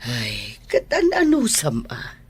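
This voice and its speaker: Sympathetic colleague, husky, unhurried, friendly advice, Filipino accent, radio drama. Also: female voice, a bass voice, wildly swooping pitch, exaggerated voice. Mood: friendly, concerned.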